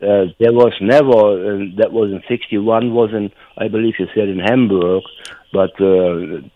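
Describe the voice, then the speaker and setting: An elderly man from Germany with a German accent, reminiscing casually in a halting, rambling way and repeating himself. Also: lowish voice, steady tone, a little hiss or hum. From North Rhine-Westphalia, Germany. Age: 70s or older. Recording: tinny phone line.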